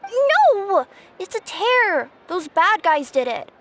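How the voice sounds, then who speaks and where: A woman's voice: very high voice, sing-song, takes it slowly, a bit bright, slight background noise, exaggerated voice. A woman voicing a cheerful, easygoing young cat-spirit courier girl, chirpy, friendly and a bit sheepish. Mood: flustered. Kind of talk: game cutscene dialogue. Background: game soundtrack music.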